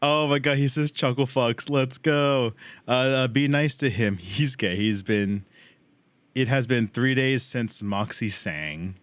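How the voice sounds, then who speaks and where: A man's voice: mid-range voice, a little slow, clean tone. A man hosting a livestream, reacting casually to a cartoon with slang and swearing. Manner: slangy, informal. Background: animated show playing.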